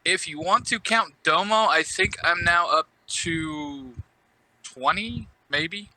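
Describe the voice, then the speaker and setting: A man's voice: fairly high pitch, slow, bright, forceful tone, low-quality recording. A man chatting casually as a guest on a podcast. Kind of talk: casual chat.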